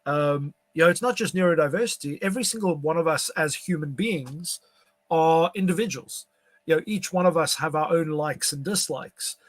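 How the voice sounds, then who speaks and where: A man's voice: a little high, dry recording. A man from South Africa, whose first language is Afrikaans, talking casually with many fillers and restarts. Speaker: podcast guest. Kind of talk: casual conversation.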